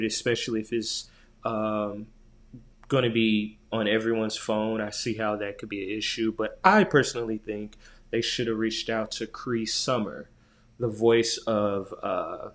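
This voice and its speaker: A man co-hosting a podcast in casual chat, talking in a slangy, joking, rambling way. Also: fairly low pitch. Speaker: podcast co-host.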